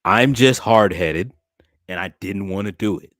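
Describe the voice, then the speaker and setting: A young man speaks in a low voice, animated and preacherly as he talks straight to his livestream chat. The sound is close and dry with no room around it. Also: measured pace, no echo. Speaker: streamer and podcast host.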